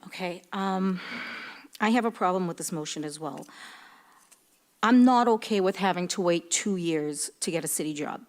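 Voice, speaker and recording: A woman's voice: on the low side, moderate pace. A woman in her fifties speaking as a city councilor at a meeting, plain-spoken and arguing her point. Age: 50s. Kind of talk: formal remarks at a council meeting. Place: indoor public council meeting room.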